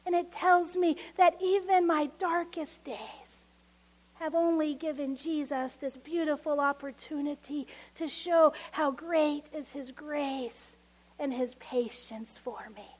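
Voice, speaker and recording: A woman with a Texas accent preaching in a very high voice. She talks slowly and earnestly, leaning hard on key words. At times her voice sinks almost to a whisper. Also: fairly even intonation, poor audio. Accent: Texan. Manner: earnest, emphatic, drops to near-whispers. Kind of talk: sermon.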